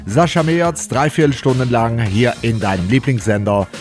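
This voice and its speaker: A man's voice: mid-range voice, normal speed, faint music behind. A man hosting a radio show in a chatty way, his nose blocked from a cold. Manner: chatty, friendly announcing. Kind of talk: casual radio moderation.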